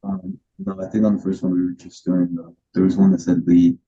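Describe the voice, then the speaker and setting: A man's voice: low voice, very animated, fairly quick, gravelly, warm tone, husky, dull audio. A man answering questions over a video call, hesitant and full of ums. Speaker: agency owner being coached.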